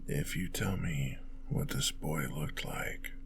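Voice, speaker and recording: A man playing a big, predatory male werewolf in a hushed ASMR roleplay, with a breathy growl and a formal, taunting, mockingly civil manner. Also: background noise, exaggerated voice. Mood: musing, sinister. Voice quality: very deep, gravelly, breathy growl, often near a whisper. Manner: slow, deliberate, formal, taunting menace.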